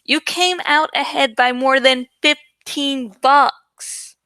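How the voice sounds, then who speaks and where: A brash teenage girl in a storybook, acted out by a woman reader. Her voice is high and bright, close and dry. She boasts with crowing emphasis. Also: takes it slowly, no echo, full character voice. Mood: triumphant.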